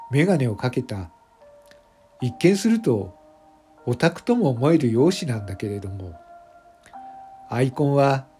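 A self-conscious factory worker who became a popular voice streamer , acted by a man confides his story in an audio drama monologue. The voice is mid-pitched, with faint music underneath. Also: dark tone. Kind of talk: confessional first-person radio drama monologue. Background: background music.

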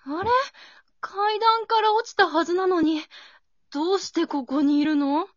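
A woman playing an anxious, bewildered high school girl in an amateur radio drama, thinking aloud in exclamations. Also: unhurried, no echo, lo-fi sound.

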